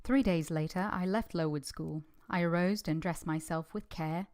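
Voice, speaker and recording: A woman playing an earnest, modest young governess in a vintage-style radio drama, measured, polite and literary in delivery. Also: lowish voice, darkish tone, natural voice. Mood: reflective. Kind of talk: first-person narration in vintage radio drama.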